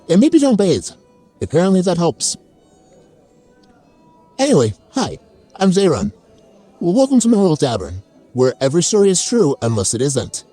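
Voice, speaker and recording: Casting: theatrical, chaotic fantasy barkeep played by a man, very animated delivery, mellow tone, oversharing comic storyteller. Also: fairly high pitch. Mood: comic, incredulous. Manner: theatrical, wry, comically self-deprecating. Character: chaotic oversharing fantasy tavern keeper spinning tall tales. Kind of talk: dramatic first-person comic storytelling.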